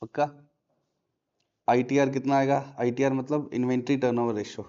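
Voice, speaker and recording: A man teaches a classroom lesson, stopping again and again to put questions to his students. His voice sits at an ordinary pitch. The recording sounds muffled and lo-fi. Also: dark tone. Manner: quizzing students with repeated questions. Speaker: commerce teacher. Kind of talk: classroom lecture.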